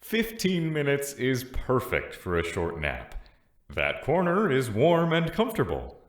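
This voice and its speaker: A man playing a calm, persuasive telepath in a sci-fi audio drama, giving deliberate, soothing suggestions. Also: medium pitch. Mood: soothing persuasion. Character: calm, persuasive male telepathic mind manipulator. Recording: roomy echo, faint music behind.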